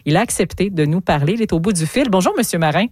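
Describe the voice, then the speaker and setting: A woman's voice: on the low side, lively intonation, fast talker, darkish tone, dry recording. A woman with a Quebec French accent hosting live radio, presenting and interviewing in a studio. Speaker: radio host.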